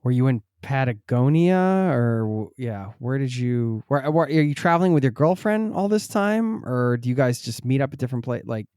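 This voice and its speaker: A man's voice: mid-range voice, lively intonation, normal speed, hardly any pauses, darkish tone, clear, resonant tone, dry recording, studio quality. Playful man hosting a podcast. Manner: joking, playful, laughs often. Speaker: podcast host. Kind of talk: casual interview chat.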